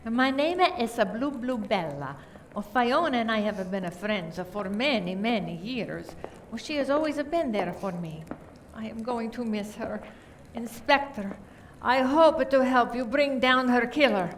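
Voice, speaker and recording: A woman acting a volatile, indignant murder suspect in an amateur radio play, dramatic and forceful in manner. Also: on the high side, expressive, unhurried, a little hiss or hum, poor audio. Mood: mournful. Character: volatile, indignant French woman suspect, loyal grieving friend.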